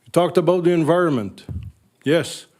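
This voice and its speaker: A man's voice: on the high side, normal speed, darkish tone. An older man with a French Canadian accent, French his first language, speaking earnestly and bluntly as a resident addressing a town council. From Northern Ontario, Canada. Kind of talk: public comment at a council meeting.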